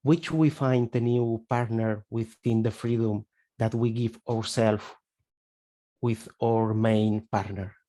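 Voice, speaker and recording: Spanish guy on a video call, slow and halting, low mellow voice, hunts for english words. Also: steady tone. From Spain. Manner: searching for words, hesitant. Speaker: webinar participant asking a question. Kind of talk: halting question in a video webinar.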